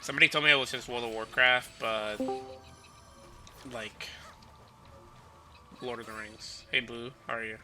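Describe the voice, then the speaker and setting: A man's voice: medium pitch, steady tone, normal speed, pauses often, music in the background. A young man hosting a livestream, asking questions and teasing his friend, greeting viewers. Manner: teasing, inquisitive, greets chat. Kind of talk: casual gaming chat.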